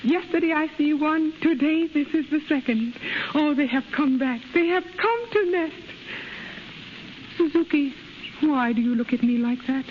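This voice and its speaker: An actress in a 1930s radio drama playing a faithful, hopeful young wife, speaking earnest broken English. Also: female voice, sits high, moderate pace, close to an everyday voice. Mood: wistful delight. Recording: muffled hissy 1930s radio broadcast.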